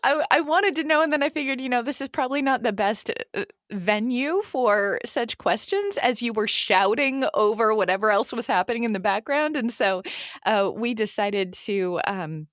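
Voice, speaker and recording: A woman's voice: a little high, expressive, moderate pace, a bit bright, dry recording, studio quality. A woman hosting a podcast, chatty and eager as she interviews her guest. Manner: eager and chatty, asking questions. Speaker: podcast host. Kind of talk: casual interview.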